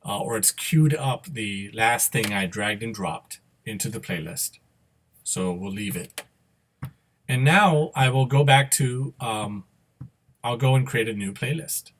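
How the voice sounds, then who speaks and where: A man giving an unscripted, step-by-step software tutorial as an instructor, explaining each move and often checking that the listener follows. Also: medium pitch.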